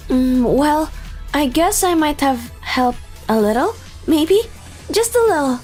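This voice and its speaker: A woman's voice: sits high, expressive, slow pace, darkish tone, soft background music. A woman voicing a modest, encouraging young secretary in a scripted manga dub, with an earnest manner. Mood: bashful.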